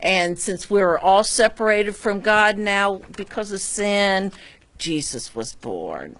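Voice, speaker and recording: A woman kindergarten teacher talks through her classroom method slowly and haltingly, pausing often between thoughts. Her pitch sits in the middle of the usual range. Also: lively intonation, fairly bright tone. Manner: halting, many ums and pauses. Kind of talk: casual group sharing.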